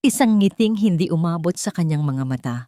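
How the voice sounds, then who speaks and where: A woman's voice: lowish voice, lively intonation, fairly quick, mellow, no room sound, studio quality. A woman narrating a scripted dramatic story as a storyteller.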